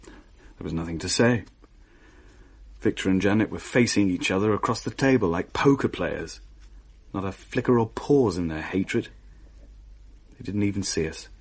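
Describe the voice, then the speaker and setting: A man's voice: deep voice, expressive, normal speed, long pauses, husky, exaggerated voice. An actor playing a shaken man who recounts a horrifying haunting in a dramatic radio play. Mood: grim, subdued. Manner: hesitant, haunted, dramatic storytelling. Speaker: narrator and character in radio play.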